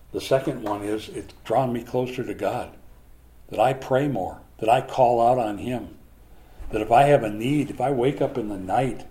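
A man preaching a conversational Bible lesson, animated at times and hushed at others. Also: mid-range voice. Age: older. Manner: animated, swings from loud to hushed.

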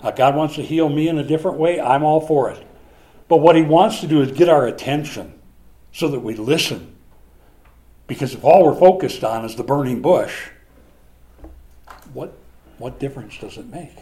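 A man preaching a conversational Bible lesson, animated at times and hushed at others. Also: fairly high pitch, moderate pace, long pauses. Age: older. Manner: animated, swings from loud to hushed.

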